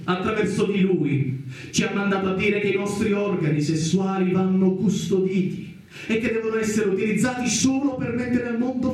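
A man performs a zealous, pompous judge in a radio play. His high male voice declaims with theatrical, drawn-out emphasis. It rings in heavy echo, away from the mic. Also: darkish tone, close to an everyday voice. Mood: pious indignation. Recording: very echoey, distant from the mic.

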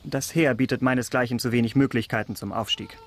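Man as travelling abbé with a soldier's past: formal, measured, guarded, mid-pitched, radio drama. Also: slight background noise, natural voice. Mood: pious, guarded. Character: courteous man posing as travelling priest, ex-cavalryman, guarded. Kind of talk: German radio drama acting.

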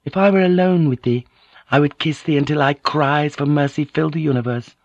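A man's voice: fairly high pitch, a little fast, no echo, dull audio, voice pushed up, lightly acted. A man voicing a devoted, proud young noblewoman, tender yet fiery, with formal, archaic, earnest speech in an audiobook. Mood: loving. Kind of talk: audiobook character dialogue.

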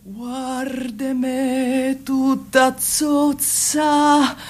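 A woman's voice: high voice, completely flat delivery, very slow, soft background music. A woman with a Roman accent singing live as a guest in a radio studio. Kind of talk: slow live solo singing.

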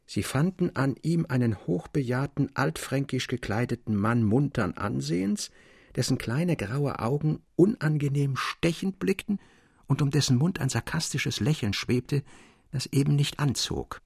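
A man narrates classic literature with a husky, creaky voice at a medium pitch. His storytelling is expressive and measured, in the manner of a radio reading, and the sound is very dry. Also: no echo. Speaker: audiobook reader. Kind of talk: literary scripted narration for German public radio.

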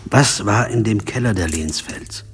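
A man plays a sober, insistent investigator in a radio crime drama. His voice is fairly low and deliberate, as in first-person narration, with faint music behind it. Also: lightly acted. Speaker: narrator and lead character.

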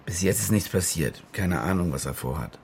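A man's voice: deep voice, lively intonation, moderate pace, creaky, darkish tone, husky, full character voice. A man acting in a radio drama as a cynical, rattled German war reporter who also narrates his story. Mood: uneasy, earnest.

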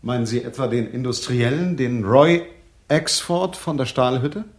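An actor playing a businesslike private detective in a 1980s radio play, speaking formally and matter-of-factly. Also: male voice, mid-range voice, a little slow. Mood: firm, curt. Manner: matter-of-fact, formal, probing. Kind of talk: 1980s German radio play, dialogue and narration.

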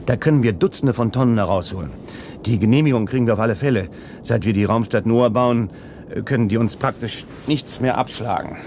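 An actor playing a brusque, world-weary salvage driver in a radio play, with a gravelly voice. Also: male voice, mid-range voice, a little fast, a little mellow, close to an everyday voice. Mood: eager. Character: brusque, world-weary space-age salvage driver confiding in his deputy. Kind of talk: German radio play acting. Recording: humming vehicle noise.

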